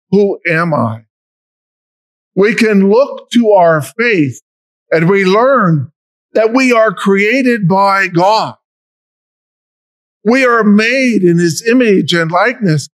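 An older American man, a deacon, preaching a homily from a lectern in a large church, in a slow, measured way with emphatic rises. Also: high voice, no echo. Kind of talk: sermon.

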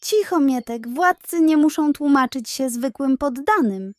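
A woman's voice: high-pitched, expressive, measured pace, no room sound, studio quality, voice pushed up. A woman voicing a lazy, sly male pug who poses as a king in a children's story, talking pompously. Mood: smug. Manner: pompous, self-important.

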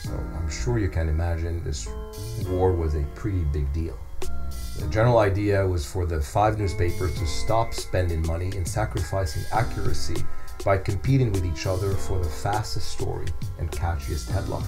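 A man's voice: low-pitched, moderate pace, music in the background. A middle-aged man playing a modest, earnest journalist being interviewed on a scripted podcast. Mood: earnest explaining. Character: modest, earnest male wire-service journalist. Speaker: guest journalist. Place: small furnished podcast studio. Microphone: studio microphone on a boom arm.

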